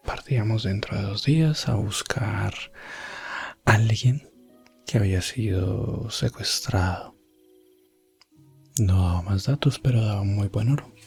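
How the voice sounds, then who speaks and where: A gentle, breathy elf prince, played by a man recounts fantasy adventures in an ASMR roleplay. His voice is fairly low and drifts into whispers. He speaks very slowly, with calm, principled courtesy. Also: full character voice. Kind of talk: soft-spoken ASMR roleplay, medieval fantasy storytelling.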